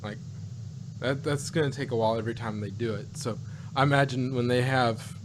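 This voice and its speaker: A man in casual, rambling conversation on a noisy home recording with a hum behind him. He talks haltingly, often doubling back on his words, at an average pitch. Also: fairly even intonation. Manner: rambling, halting, repeats and restarts phrases. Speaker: podcast co-host. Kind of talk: casual chat, reviewing sci-fi.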